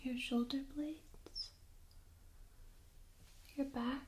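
A young woman performs a soft ASMR role play as an energy healer, slipping between a high, gentle voice and breathy whispers. She guides the listener extremely slowly and soothingly, very close to a binaural microphone. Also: fairly even intonation, a little hiss or hum. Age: young adult. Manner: slow, soothing, hushed guiding. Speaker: ASMR performer playing a Reiki practitioner.

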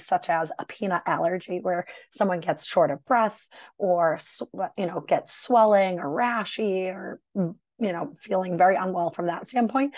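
A woman doctor presents a medical webinar in a hoarse, raspy voice and clears her throat now and then. She explains patiently and conversationally, with some fillers. The video-call sound is dry and close. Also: fairly low pitch, no echo.

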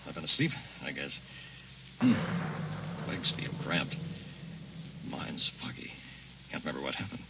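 An actor playing a groggy, bewildered man in an old radio drama monologue, speaking in halting fragments. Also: extremely low pitch, big pitch swings, vocal fry, exaggerated voice. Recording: muffled, noisy, distant radio recording.

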